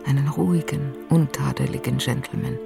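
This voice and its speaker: A woman's voice: very deep voice, steady tone, normal speed, vocal fry, over music, heavily acted. An actress playing an anxious, troubled middle-aged landlady in a radio drama, fearful and brooding in her intense delivery. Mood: desperate hope. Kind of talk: German radio drama acting.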